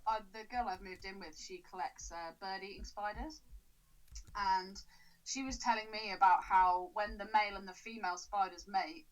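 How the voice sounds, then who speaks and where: A woman's voice: medium pitch, moderate pace, rough recording. A young woman co-hosting a podcast, brash and laughing often, swearing freely in casual chat. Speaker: podcast co-host.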